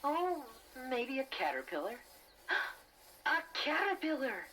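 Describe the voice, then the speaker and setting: A man's voice: very high-pitched, wildly swooping pitch, takes it slowly, bright, forceful tone, slight background noise, full character voice, low-quality recording. A man voicing a friendly, earnest young bear who narrates a children's storybook in a boyish manner. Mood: curious, excited. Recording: 1980s cassette with music behind.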